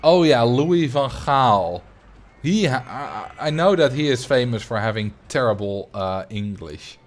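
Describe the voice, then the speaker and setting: Animated man with a Dutch accent. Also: mid-range voice, unhurried. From Netherlands, grew up in Drenthe. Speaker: video game livestreamer. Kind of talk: casual chat while streaming a game.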